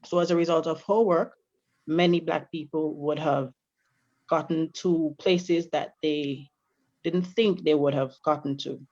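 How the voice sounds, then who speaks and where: A man's voice: on the high side, fairly even intonation, measured pace, darkish tone, muffled recording. A man from Grenada on a committee, speaking earnestly and reflectively in a panel discussion over a video call. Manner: earnest, reflective, with many restarts. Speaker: Grenada Day committee member.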